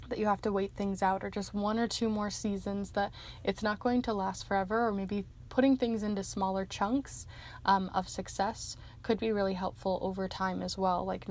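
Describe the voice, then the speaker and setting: Young woman going hoarse, gravelly fry, soft rambly card reading w/ lots of ums. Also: medium pitch. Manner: gentle, meandering with frequent ums. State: losing her voice. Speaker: tarot card reader. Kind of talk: pick-a-card divination reading.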